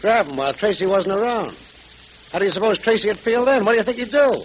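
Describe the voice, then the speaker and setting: An actor in a vintage radio serial playing a blustering, scheming gang boss with tough, emphatic gangster talk. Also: male voice, sits high, quick pace, natural voice. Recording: crackly old radio recording.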